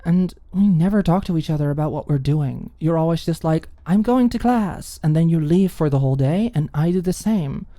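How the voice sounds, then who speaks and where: Woman voicing a cool, teasing, dark-toned young roommate. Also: low-pitched, normal speed, hardly any pauses, dry recording, clean studio sound, natural voice. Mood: dismissive. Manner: breezy, sardonic, feigned indifference.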